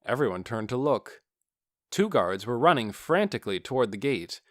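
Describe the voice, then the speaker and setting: A man narrates a fantasy audiobook in a mid-pitched voice. His delivery is expressive, with wide pitch movement. The recording is dry and close, with no room sound. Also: moderate pace, no room sound. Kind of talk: scripted fantasy fiction narration.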